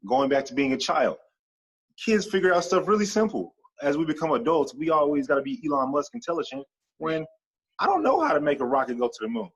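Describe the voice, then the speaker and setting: A man speaking as a podcast guest in a casual interview, animated and stacking long repeated lists as he talks. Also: fairly high pitch, fairly quick, breathy edge, rough recording.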